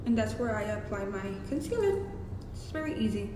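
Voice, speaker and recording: A woman's voice: on the high side, sing-song, fairly quick. A young woman with a Mexican accent doing a casual, profane makeup tutorial with chatty asides. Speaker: beauty vlogger. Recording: echoey room with hiss.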